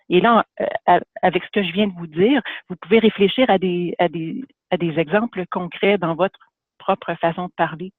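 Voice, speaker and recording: High-voiced Canadian French man lecturing. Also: no echo. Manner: hesitant, many fillers and restarts. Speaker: webinar presenter. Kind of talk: lecture for an online audience. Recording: phone-like dry webinar audio.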